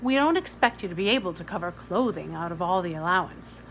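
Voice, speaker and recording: A woman reading a storybook, voicing a bossy, iron-willed organizing lady with a crisp, brisk, authoritative manner. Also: fairly low pitch, lively intonation, a bit bright, a little hiss or hum. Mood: businesslike. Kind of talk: storybook read-aloud.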